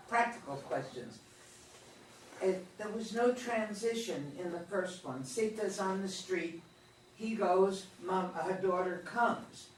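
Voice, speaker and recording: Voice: medium pitch, slight background noise. A group member asking direct, practical questions about a play in a casual discussion. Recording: very echoey and distant.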